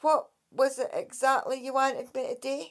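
A woman's voice: high voice, fairly even intonation, moderate pace, voice pushed up, close to an everyday voice. A woman voicing a bored, blunt girl of nearly thirteen with a Scottish accent. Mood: curious. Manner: blunt, curious.

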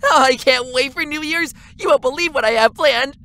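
A woman's voice: sits high, moderate pace, a bit bright. A woman playing a cheery, self-satisfied, chatty party planner in an audio drama. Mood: cheery, pleased. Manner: bubbly, chatty.

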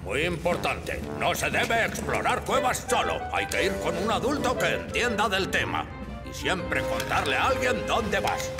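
A bossy, fussy cartoon fire chief with a Spain Spanish accent. He speaks in a high voice that swings wildly up and down as he lectures and barks orders. Music runs clearly beneath him. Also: sing-song, a little slow. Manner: stern, lecturing, shouts orders. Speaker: dubbing actor. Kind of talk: cartoon dub.

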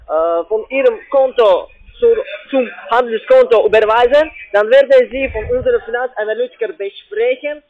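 A man with a foreign accent, not a native German speaker, making a halting, repetitive, insistent telephone sales pitch. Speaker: call-centre sales caller. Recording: muffled, narrow phone line.

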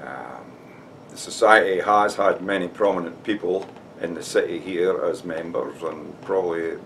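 An older man with a Scottish accent explains things plainly, pausing on fillers and "now". His voice is deep and gravelly. He sits back from the camera mic, with a faint hiss under his words. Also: far from the mic. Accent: Scottish, Dumfries. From Scotland. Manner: steady and explanatory, with frequent "uh" and "now". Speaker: society member giving a talk. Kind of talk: informal prepared talk to camera. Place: room with shelves and flags behind.

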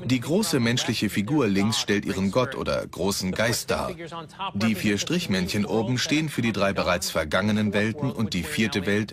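A man's voice: on the low side, lightly acted. A man reading scripted documentary narration in a dubbed production.

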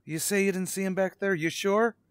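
An actor playing an anxious, obsessive motorist in a radio suspense drama, speaking dramatically and halting when rattled. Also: male voice, sits high, fairly even intonation, clean tone, no room sound, studio quality, close to an everyday voice. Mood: frantic, insistent. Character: haunted cross-country motorist, man, anxious, increasingly obsessive. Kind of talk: old-time radio suspense drama acting, first-person narration and dialogue.

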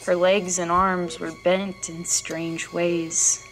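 A woman's voice: lowish voice, flat delivery, poor audio. A woman acting in a horror audio drama as a frightened, hesitant woman, with music under her voice. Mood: haunted recounting. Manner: halting, hesitant delivery. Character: frightened woman recounting a disturbing experience, searching for her dog. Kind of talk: horror audio drama, narration and acted scenes.

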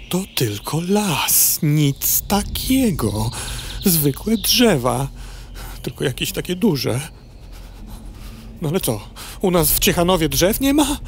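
A woman's voice: low voice, a little hiss or hum, full character voice. A woman playing a nervous, stubborn young female fly who talks to herself in a comic audio drama. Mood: uneasy self-reassurance.

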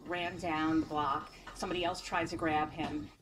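A middle-aged woman with a somewhat low voice recounts events in a plain, earnest way. It is a news interview clip played back, with clear noise in the background. She talks to a reporter in short, simple sentences. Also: level tone, normal speed. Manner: earnest, telling her story plainly. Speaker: dog owner interviewed.

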